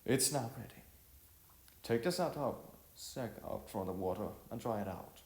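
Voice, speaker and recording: A man's voice: mid-range voice, animated, measured pace, voice pushed up, close to an everyday voice. A man voicing a friendly, playful young woman with a coaxing, hesitant manner in a storybook. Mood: inviting. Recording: roomy echo with music behind.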